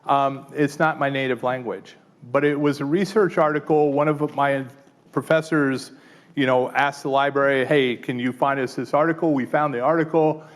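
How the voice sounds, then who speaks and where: A man presenting a live teaching workshop, talking casually with frequent fillers and quick "right?" check-ins to the room. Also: mid-range voice, fairly even intonation. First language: English. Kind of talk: live tech workshop demo.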